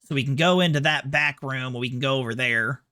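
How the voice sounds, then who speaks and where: A man's voice: mid-range voice, brisk, pushed and bright, clear, resonant tone, no room sound, clean studio sound. A man from New York with an American accent giving casual, sarcastic, sweary gameplay commentary. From New York, United States. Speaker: game streamer.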